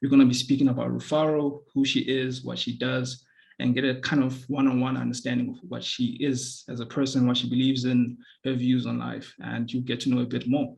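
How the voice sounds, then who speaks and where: A young man hosting a casual podcast interview, relaxed, with frequent filler sounds as he talks. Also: mid-range voice, a little fast, vocal fry, low-quality recording. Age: young adult. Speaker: podcast host.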